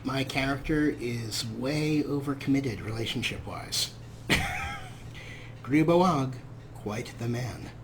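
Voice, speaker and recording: A man's voice: medium pitch, lively intonation, slow, pauses often, slight background noise. A man hosting a let's play with wry, amused, casual commentary.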